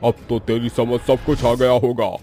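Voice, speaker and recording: A man's voice: medium pitch, flat delivery, moderate pace, dark tone, faint music behind, natural voice. A man voicing a vengeful, lovesick male ghost groom in a horror cartoon, with a commanding, dramatic delivery. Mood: threatening. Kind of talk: Hindi horror cartoon voice acting.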